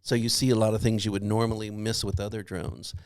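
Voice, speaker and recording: Lowish-voiced guy on a podcast, explaining stuff plainly, bit of deadpan humor. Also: fairly quick, clear, resonant tone. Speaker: podcast co-host. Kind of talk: casual chat, explaining a hobby.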